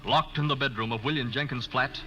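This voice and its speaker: An actor as a suspenseful announcer narrating a 1940s radio crime serial in a dramatic scripted style. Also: male voice, mid-range voice, brisk, natural voice, rough recording. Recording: old radio broadcast recording. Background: loud organ music.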